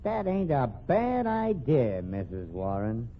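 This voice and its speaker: An actor playing a tough, pushy American police detective in a radio crime drama, brusque, slangy and needling. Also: male voice, mid-range voice, huge pitch swings, slow pace, over loud music, full character voice. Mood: skeptical. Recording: tinny, narrow old radio recording.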